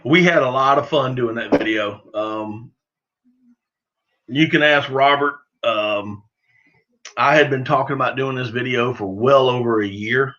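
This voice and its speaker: A middle-aged man from the American South talks with a Southern accent and a bright, forceful voice at an ordinary male pitch. He chats loosely with viewers as a livestream host, folksy and self-deprecating. The audio is somewhat dull and lo-fi. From southern United States. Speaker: livestream host of a duck hunting channel. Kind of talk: casual livestream chat, answering viewers.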